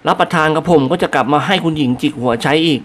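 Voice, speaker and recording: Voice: mid-range voice, fast talker, close to an everyday voice. A reader voicing a humble, deferential male servant answering his masters politely in an audiobook.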